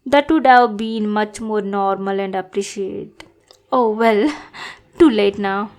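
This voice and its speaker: A woman's voice: medium pitch, a little mellow, close to an everyday voice. A woman playing an obsessive, lovestruck bodyguard in an audio roleplay, sweet and awkwardly gushing as she talks to her boss. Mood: sheepish. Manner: sweet, adorkable, slow, gushing then backpedalling. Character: obsessive, lovestruck young female bodyguard, sweetly bossy and possessive. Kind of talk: acted audio roleplay addressed to listener.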